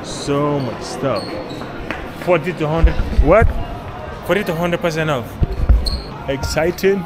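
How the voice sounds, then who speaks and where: A man's voice: a little high, slow. A man vlogging as he walks through a shopping mall, rambling casually to himself and to people he passes. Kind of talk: casual walking commentary. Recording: loud music in a busy mall.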